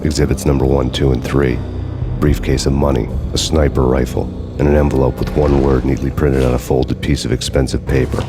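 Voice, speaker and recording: An actor playing a world-weary, framed man, narrating a noir video game in a brooding, deadpan, sardonic way. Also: a bass voice, fairly quick, music in the background. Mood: grim, brooding.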